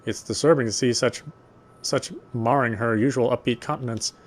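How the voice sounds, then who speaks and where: A man's voice: mid-range voice, fairly even intonation, normal speed. Man reading visual novel narration. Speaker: let's player reading game text.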